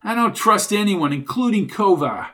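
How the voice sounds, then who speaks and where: A man's voice: high voice, expressive, moderate pace, sharp tone, pitched above the performer's own voice. A man voicing a brash, suspicious, short-tempered crook in an audio story, with blunt, snappy, slangy talk. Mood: sarcastic, impatient.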